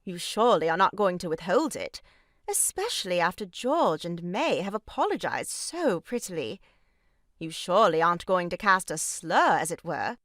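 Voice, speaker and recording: A woman's voice: medium pitch, a bit bright, dry recording, studio quality. An actress playing a meddling, pompous woman in a stage farce, declaiming with melodramatic grievance. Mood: reproachful. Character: meddling, theatrically aggrieved sister-in-law, grandly moralizing woman. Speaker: voice actor. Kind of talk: stage farce audio drama acting.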